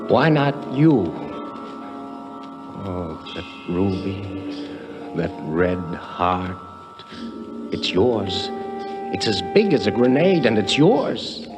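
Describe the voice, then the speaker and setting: An actor in a 1950s radio drama playing a desperate, broken thief trapped as guardian of a treasure, halting and pleading. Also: male voice, deep voice, big pitch swings, music in the background, exaggerated voice. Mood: weary, brooding.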